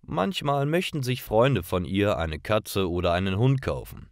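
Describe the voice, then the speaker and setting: Guy reading simple stories for learners, really slow, voice swings up and down, super dry sound. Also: on the low side, sing-song, no room sound. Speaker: narrator of German learning stories. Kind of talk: scripted story narration for language learners.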